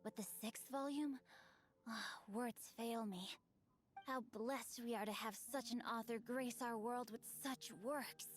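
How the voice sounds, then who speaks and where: A woman's voice: fairly high pitch, fairly even intonation, unhurried, faint music behind, natural voice. Woman voicing a refined bookish boy swordsman. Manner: eloquent, formal, theatrical. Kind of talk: video game dialogue.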